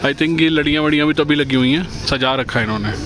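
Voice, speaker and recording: A man's voice: a little high, quick pace, a crowd in the background, poor audio. A man in his thirties, a vlogger, talking casually and upbeat, mixing English words into his speech. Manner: chatty, upbeat, mixes English words into Hindi. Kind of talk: casual vlog with sponsored segment. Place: small room indoors, then outdoors on a busy hill-town street.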